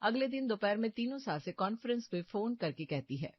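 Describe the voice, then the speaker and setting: Female story narrator, scripted delivery, medium pitch, slightly muffled close recording. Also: animated, brisk, dry recording.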